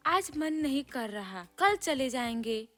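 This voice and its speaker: A woman's voice: high voice, sing-song, slow, a bit bright, soft background music, exaggerated voice. A woman voicing a sleepy, reluctant young granddaughter in a moral story. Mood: sleepy, pleading. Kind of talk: scripted Hindi moral story acting.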